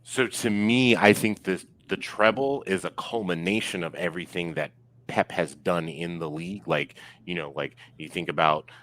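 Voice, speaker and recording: A man's voice: low voice, normal speed, fairly bright tone, poor audio. A man giving opinions in a casual sports discussion, very informal and peppered with filler words. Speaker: podcast guest.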